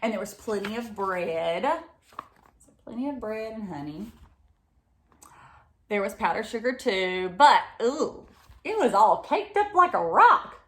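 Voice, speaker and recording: A woman with a medium-pitched voice reads a children's picture book aloud. She is expressive and often turns to her young listeners with simple questions and explanations. The recording is roomy, with audible echo around her voice. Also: takes it slowly, pauses often. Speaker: read-aloud storyteller for children.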